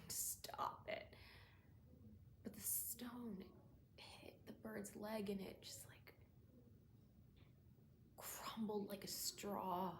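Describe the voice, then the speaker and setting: A woman playing a shaken young woman haunted by cruelty she witnessed, in a halting, emotional dramatic stage monologue. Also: mid-range voice. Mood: shaken, hushed. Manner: halting, hushed and breathy, swelling into anguished cries. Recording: roomy echo, faint background noise.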